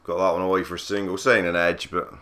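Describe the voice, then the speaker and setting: A man with a cold, husky and croaky, giving easygoing play-by-play commentary on a cricket video game. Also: low-pitched, little pitch movement, quick pace, a bit bright. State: hoarse from a cold. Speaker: gaming channel host.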